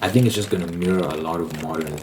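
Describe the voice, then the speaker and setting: A man with a very deep voice delivers an opinionated ASMR ramble. He talks haltingly and repeats phrases to stress them. Clear background noise with keyboard typing runs behind him. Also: steady tone. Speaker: ASMR host.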